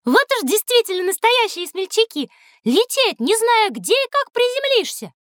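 Very high-pitched wondering little child. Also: female voice, moderate pace, dry recording, studio quality, heavily acted. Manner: wondering, questioning, expressive. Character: inquisitive, wide-eyed little child. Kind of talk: scripted children's educational audio drama.

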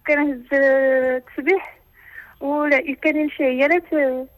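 A woman in her twenties with a Moroccan accent calling in to a radio show, asking her questions hesitantly with halting restarts. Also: high-pitched, fairly even intonation, dry recording, dull audio. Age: 20s. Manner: hesitant, halting, many fillers. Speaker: caller.

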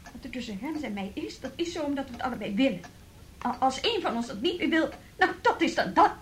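An actress playing a proud, wounded young woman in a radio drama, speaking haltingly and with indignant emphasis. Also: high voice, big pitch swings. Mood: hurt, resolute. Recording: noisy radio play recording.

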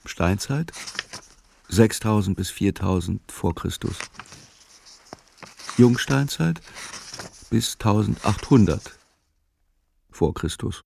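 A man reading scripted literary narration for a radio play in a measured, matter-of-fact way. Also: fairly low pitch, expressive, vocal fry, darkish tone, a little hiss or hum.

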